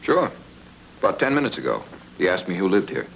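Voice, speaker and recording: An actor playing a cynical, unflappable private detective in a noir radio drama, with dry, clipped, sardonic delivery. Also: male voice, low-pitched, huge pitch swings, brisk, gravelly, fairly bright tone, slight background noise, heavily acted, rough recording. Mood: cool, dry. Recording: old-time radio drama, music underneath.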